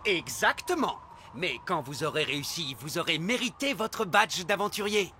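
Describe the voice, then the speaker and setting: A man's voice: on the high side, lively intonation, moderate pace, sharp tone, slight background noise. A man voicing an enthusiastic, bumbling elf scout leader in a French cartoon dub, hearty, emphatic and instructive.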